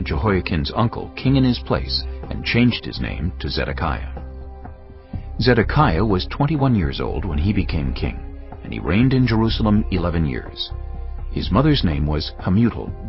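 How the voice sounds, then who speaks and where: A man reading scripture as a dramatised audio Bible narration, solemn and measured in his storytelling. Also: low voice. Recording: slightly dull audio under background music. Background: background music.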